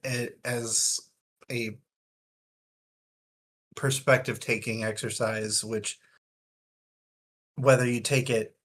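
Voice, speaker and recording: A man's voice: mid-range voice, flat delivery, takes it slowly, long pauses, a bit bright. A man talking casually in a book discussion, analytical and wordy, feeling out his phrasing as he goes.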